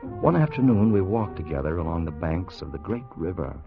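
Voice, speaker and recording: An actor playing an anxious, timid time traveller who also narrates, in an earnest, dramatic 1950s radio style. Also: male voice, low voice, sing-song, a little fast. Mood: hushed wonder. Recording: dull vintage radio recording with music.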